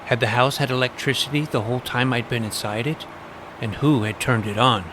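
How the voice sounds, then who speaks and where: A man's voice: mid-range voice, steady tone, a little fast, close to an everyday voice. Man as earnest boy vampire hunter, noisy backdrop. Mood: earnest, suspenseful. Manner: dramatic, earnest, bookish. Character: imaginative, serious twelve-year-old boy vampire hunter narrating. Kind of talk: scripted first-person story narration. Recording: noisy ambient background.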